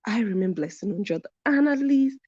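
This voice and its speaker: A woman's voice: medium pitch, animated, a little fast, dark tone, husky, no room sound. A woman hosting a live commentary stream, reading viewer comments and stressing her points by repeating them. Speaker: commentary channel host. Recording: dull lo-fi sound.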